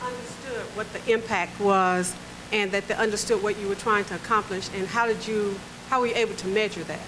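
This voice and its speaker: A woman's voice: mid-range voice, fairly even intonation, fairly quick. A woman in the audience asking the speaker an inquiring question, picked up from far off. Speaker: audience member. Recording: distant, noisy audience pickup.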